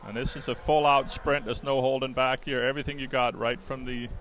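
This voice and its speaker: A man's voice: medium pitch, fairly quick, low-quality recording. Middle-aged man, from Denmark, native Danish speaker, live swim commentary, hesitant and conversational, mid-range voice, background noise. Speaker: swimming commentator and coach. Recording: steady clear background venue noise. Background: swim meet ambience.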